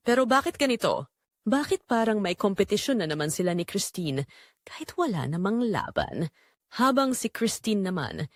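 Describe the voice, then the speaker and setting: A woman with a Filipino accent narrating a scripted romance story in an expressive, measured way with dramatic pauses. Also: medium pitch, no room sound, studio quality.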